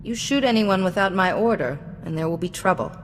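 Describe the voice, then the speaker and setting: An actress playing a calm, authoritative female Jedi diplomat in a video game, speaking in a measured, formal way. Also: mid-range voice, slight background noise, close to an everyday voice. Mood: firm. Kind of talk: video game dialogue acting. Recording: long hall reverb, music behind.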